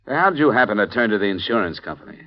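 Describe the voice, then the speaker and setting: A man's voice: on the low side, animated, very fast, dull audio, close to an everyday voice. An actor playing a tough, wry male insurance investigator in a 1950s radio drama, with a blunt and clipped delivery, on an old broadcast recording. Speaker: lead and narrator of the series.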